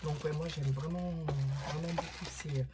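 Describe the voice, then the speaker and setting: A middle-aged woman, hoarse this morning, chatting casually through an unboxing with many fillers and restarts, sometimes dropping to a whisper. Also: low voice, fairly even intonation, measured pace, noisy background. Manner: drops to a whisper at times. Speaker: vlogger unboxing craft supplies.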